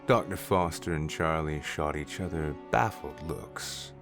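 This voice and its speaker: Low-voiced male story narrator. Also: unhurried, creaky, music in the background.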